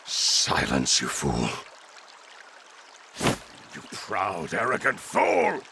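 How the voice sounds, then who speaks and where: A man voicing a proud, power-mad warrior villain in a video game, gravelly and menacing, with theatrical, imperious delivery over dramatic music. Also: sits low, wildly swooping pitch, extremely slow, bright, forceful tone, slight background noise, heavily acted. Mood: seething rage.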